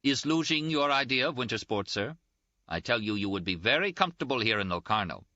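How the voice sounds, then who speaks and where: A man's voice: mid-range voice, lively intonation, a little fast, bright, forceful tone, no room sound, voice pushed up. A man voicing an indignant, pedantic petty official, pompous and argumentative, in audiobook dialogue on a slightly dull, dry recording. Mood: indignant, insistent.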